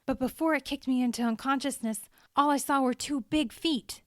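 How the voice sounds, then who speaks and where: Woman voicing a breathless, excitable male forest ranger. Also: high voice, moderate pace, no room sound, clean studio sound, voice pushed up, close to an everyday voice. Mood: shaken, frantic. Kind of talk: audiobook character dialogue.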